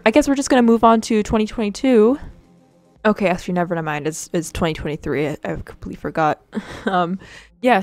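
A woman's voice: on the low side, dry recording. A woman casually walking through her own character design work, rambling and self-deprecating, with lots of fillers. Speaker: artist and channel host. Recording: dry close mic with faint music behind.

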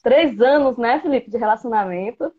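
A playful, chatty woman in her thirties with a Bahian Brazilian accent, her voice sitting a little high. She talks through an earphone mic on a video call, and the sound comes through slightly dull. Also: lively intonation, normal speed, slightly rough. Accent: interior Bahia Brazilian Portuguese. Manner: chatty, playful, gestures a lot. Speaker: guest, biology teacher. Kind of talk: casual video-call interview. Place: small room indoors. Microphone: earphone headset mic on video call.

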